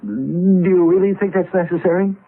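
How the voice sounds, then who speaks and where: An actor playing a disillusioned, sardonic older business partner in 1970s radio drama, scornful and emphatic. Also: male voice, high-pitched, steady tone, normal speed. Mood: disgusted, sardonic. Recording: muffled vintage radio broadcast.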